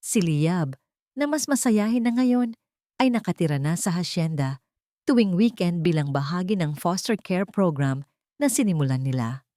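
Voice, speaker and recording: A woman's voice: fairly low pitch, animated, moderate pace, mellow, dry recording, clean studio sound. A woman narrating a scripted dramatic story as a storyteller and host.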